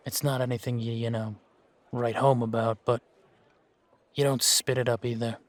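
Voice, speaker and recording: A man playing a world-weary, laconic monster-slaying mercenary in a fantasy roleplay audio drama, speaking in a measured, musing way with pauses. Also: mid-range voice, flat delivery, close to an everyday voice. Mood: mildly grumbling. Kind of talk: fan-made roleplay audio drama, unhurried monologue to a companion.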